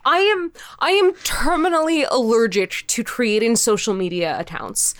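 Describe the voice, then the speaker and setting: High-voiced woman, sweary and exasperated. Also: moderate pace, noisy background. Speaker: podcast interview guest, audio fiction creator. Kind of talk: casual animated interview chat.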